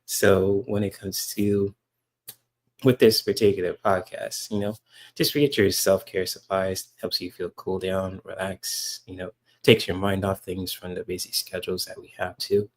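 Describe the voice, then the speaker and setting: A soft-spoken man hosts a reaction podcast in a slightly low, soothing voice. He rambles casually, leaning on fillers and restarts as he talks to his listeners. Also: level tone, measured pace, rough recording. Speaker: podcast host reacting to a clip.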